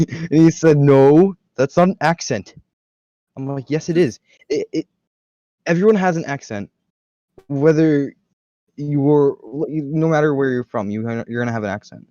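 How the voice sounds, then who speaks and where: An American man hosting a casual podcast, chatty and quick to laugh as he shares anecdotes. His voice is mid-pitched and mellow, recorded dry and a bit dull and lo-fi. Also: no echo. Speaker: podcast host.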